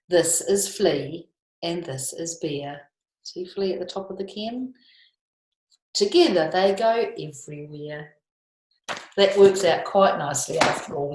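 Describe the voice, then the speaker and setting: A woman with a New Zealand accent reading picture books aloud to young children, in an expressive sing-song way with chatty asides. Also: mid-range voice. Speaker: storytime reader for young children. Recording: echoey room.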